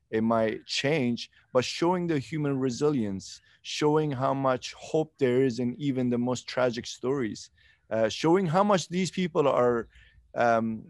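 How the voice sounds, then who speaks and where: A man in his thirties from eastern Turkey, a film director answering interview questions on a video call, earnest and pausing to search for words. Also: mid-range voice, darkish tone, no echo, studio quality. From eastern Turkey, Kurdish region. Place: outdoors in a sunny garden. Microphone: video call laptop mic.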